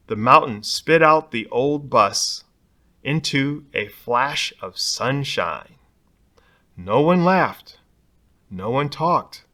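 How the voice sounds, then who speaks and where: Slow, animated man reading a storybook. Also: mid-range voice. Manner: expressive, dramatic emphasis on key words, pausing to point out pictures. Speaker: storybook reader. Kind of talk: children's picture-book read-aloud.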